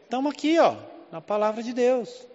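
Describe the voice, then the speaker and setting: A man's voice: very high-pitched, lively intonation, moderate pace, a little mellow, big-room sound, dull audio. A man from Southeast Brazil with a Southeast Brazilian accent, preaching a conversational sermon full of rhetorical questions. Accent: Southeast Brazilian Portuguese. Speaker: pastor. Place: church hall.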